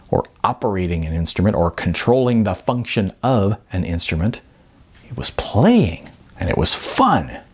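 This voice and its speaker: A man's voice: mid-range voice, darkish tone, husky. A man who is an author reading his own novel, narrating expressively with dramatic emphasis. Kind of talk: animated audiobook-style narration.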